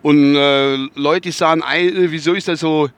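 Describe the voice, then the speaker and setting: A man's voice: on the high side, fairly even intonation, normal speed, dry recording. A man with a Westphalian accent hosting his own podcast from inside his car while driving, rambling, groping for words and swearing casually. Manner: rambling, searching for words, many fillers and restarts. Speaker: podcast host. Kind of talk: casual spoken podcast monologue.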